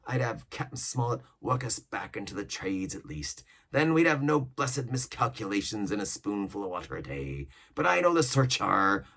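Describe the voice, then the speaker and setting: A scheming older cook with a gravelly growl, as a man reads him aloud. He blusters and drops to rough whispers, the pitch swooping widely. The voice stays husky and low. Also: fairly bright tone, heavily acted. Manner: growling, theatrical, shifting between bluster and whispers. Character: scheming one-legged pirate cook, older man, cunning.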